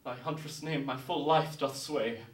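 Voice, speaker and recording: A man's voice: mid-range voice, fairly even intonation, measured pace, lightly acted. A man playing an ardent, lovestruck young man, declaiming Shakespeare verse with fervour on stage-style terms. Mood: adoring, fervent. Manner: declamatory, fervent. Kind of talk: theatrical Shakespeare verse monologue. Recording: roomy echo, distant mic.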